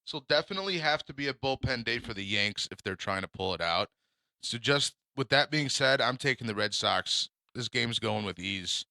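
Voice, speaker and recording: A man with a low yet bright, forceful voice gives sports picks on a casual podcast. He sounds confident and trades jokes with his co-host. The recording is dry and close. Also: lively intonation, moderate pace, gravelly, no room sound. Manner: confident, joking, banter.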